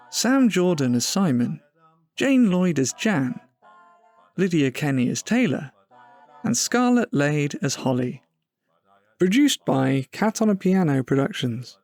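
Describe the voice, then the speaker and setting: A man delivers scripted podcast credits as an announcer. His voice sits on the high side and moves with lively, varied intonation. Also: no room sound.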